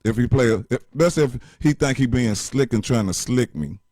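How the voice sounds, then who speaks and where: A man with a deep voice gives blunt, profane relationship advice in casual chat. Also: normal speed, mellow, dry recording.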